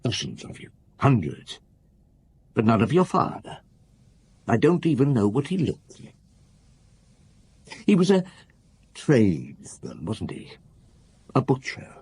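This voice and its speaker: An actor playing a weary, probing middle-aged police chief inspector in a radio drama, deliberate and authoritative, with a husky voice. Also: male voice, medium pitch, big pitch swings, darkish tone, full character voice. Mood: probing, scornful. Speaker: lead actor and narrator in a radio detective drama.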